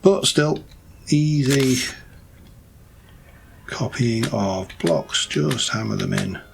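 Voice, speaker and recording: A man with a hoarse, croaky voice, rambling and thinking aloud as he plays and chats through a building game. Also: mid-range voice, lively intonation, very slow, a little hiss or hum. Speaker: let's play host. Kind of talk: casual gameplay commentary.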